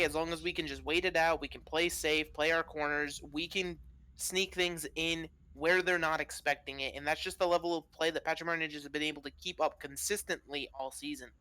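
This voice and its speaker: Bright-voiced man giving esports analysis. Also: fairly high pitch, fairly quick, slight background noise, rough recording. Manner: relaxed analytical breakdowns. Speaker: color commentator.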